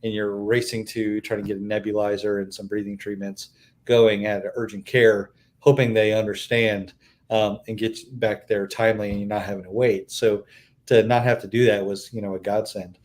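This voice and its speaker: A middle-aged man speaking as an interview guest, telling his story in a steady, earnest way with plenty of fillers. His voice sits on the low side. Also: moderate pace. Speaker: restaurant owner, interview guest. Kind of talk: casual interview chat.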